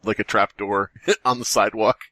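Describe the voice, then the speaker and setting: A man's voice: on the low side, fairly even intonation, normal speed, bright, forceful tone, no echo. A man co-hosting a comedy podcast in casual banter, often interjecting with mock-serious remarks and swearing. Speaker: podcast co-host.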